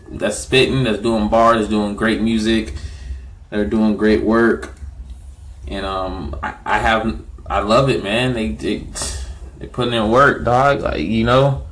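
A man's voice: fairly low pitch, a bit bright. A man hosting a music podcast in a casual, slangy, profane and repetitive way. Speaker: podcast host.

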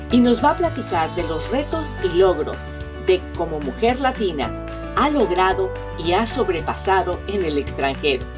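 A woman hosting an online radio interview, speaking in a measured, formal way and asking long, winding questions. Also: on the low side. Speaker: host and interviewer.

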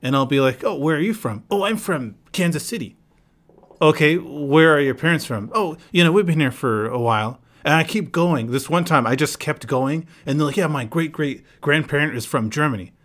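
A man from the United States hosting a casual podcast chat and telling stories in a relaxed way, often slipping into quoting what people said to him. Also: on the high side. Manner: relaxed storyteller, quoting people in quick asides. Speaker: podcast host.

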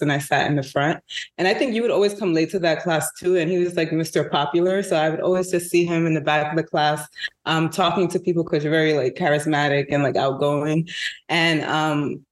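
New lady guest, laughs a lot, chatty, talks fast when excited. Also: low voice. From New York. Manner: warm, giggly, quick-talking storyteller. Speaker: podcast guest. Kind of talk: casual interview talk.

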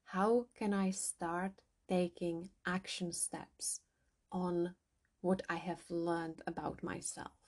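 A woman whose first language is not English, a hypnotherapist and coach, calmly guiding listeners through a journaling exercise. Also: lowish voice. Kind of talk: guided journaling podcast.

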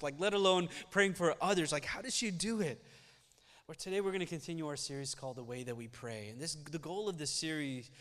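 A young man preaching a Sunday sermon as pastor, his first language Malayalam, speaking in an earnest, conversational way that grows more energetic as he preaches. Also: fairly high pitch. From United States. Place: church sanctuary. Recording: church hall reverb.

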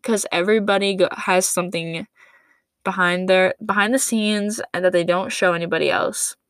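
Young woman, solo podcast host, slightly raspy, low-ish voice, casual and rambling. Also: steady tone, moderate pace, fairly bright tone, dry recording. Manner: casual, rambling, many fillers. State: raspy from late-night recording.

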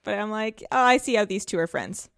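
A woman's voice: medium pitch, fairly quick, no room sound, studio quality. A woman guest on a podcast, chatting casually and retelling things in an emphatic way. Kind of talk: casual chat.

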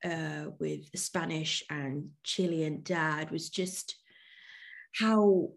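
Reflective woman, halting speech. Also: low voice, vocal fry. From London, England. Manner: reflective, halting, searches for words. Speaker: podcast guest, yoga teacher. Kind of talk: casual interview conversation.

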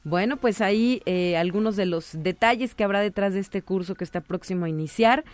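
A woman's voice: medium pitch, brisk. A woman with a Mexican accent hosting a live radio programme, interviewing and making announcements. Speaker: radio host. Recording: music playing behind the voice. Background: music.

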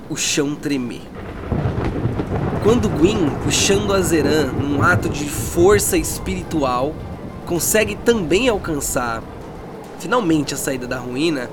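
Male game master, dramatic descriptive narration, slightly high voice, clear music underneath. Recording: with music bed.

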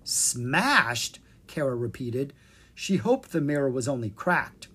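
A woman reading a children's fairy tale aloud as an expressive storyteller. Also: extremely low pitch, moderate pace, husky. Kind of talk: expressive scripted narration for children.

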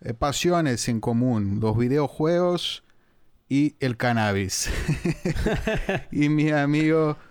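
Brazilian-accented man, portuguese native, smooth clear voice, dry close sound, friendly halting storytelling. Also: fairly high pitch, expressive, a little mellow, dry recording. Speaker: podcast guest. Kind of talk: casual storytelling in an interview.

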